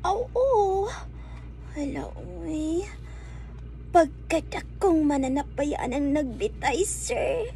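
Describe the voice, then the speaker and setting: High-pitched, giggly, naive young nurse. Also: female voice, expressive, warm tone, heavily acted. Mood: flustered, coy. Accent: Cebuano Filipino. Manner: coy, giggly, drawn-out exclamations. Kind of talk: Bisaya comedy radio drama acting.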